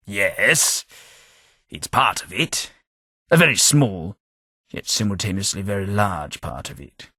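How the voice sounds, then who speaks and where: An actor playing a forgetful older gentleman in a game, speaking in a husky, measured and formal way. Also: lowish voice, no echo, studio quality, full character voice. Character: forgetful older English gentleman companion, weary and reflective. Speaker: game companion character. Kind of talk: video game voice acting.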